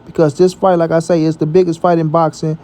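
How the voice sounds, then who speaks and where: American male sports vlogger, higher-pitched and mellow, slangy and emphatic, casual opinionated commentary. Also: little pitch movement, fast. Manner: emphatic, repetitive, slangy with frequent check-in tags. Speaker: boxing commentary channel host.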